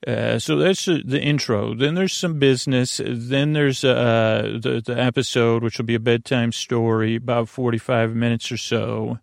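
A man hosting a bedtime podcast in a creaky, soothing voice, rambling haltingly and circling back on himself in meandering tangents. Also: medium pitch, fairly even intonation, dry recording, studio quality. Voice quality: creaky, gravelly. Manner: soothing, lulling. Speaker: podcast host.

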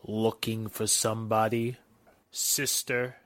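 Slow, sneering 1940s gangster. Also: male voice, on the low side, flat delivery, a bit bright. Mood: threatening. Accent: American. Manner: sneering, slangy tough-guy talk. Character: menacing 1940s gangster henchman with a gun. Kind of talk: 1940s radio drama acting.

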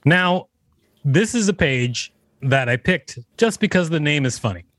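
A man's voice: on the high side, expressive, unhurried, fairly bright tone, no echo. A man co-hosting a podcast, reading an encyclopedia page aloud and adding casual commentary. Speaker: podcast co-host.

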